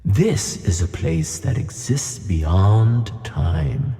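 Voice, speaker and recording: A man playing a huge, gentle old flying tuna, wise and wistful, with a deep, gravelly voice in a children's audio play. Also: big pitch swings, exaggerated voice. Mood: grave, explaining. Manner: slow, measured, kindly. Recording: long hall reverb on voice.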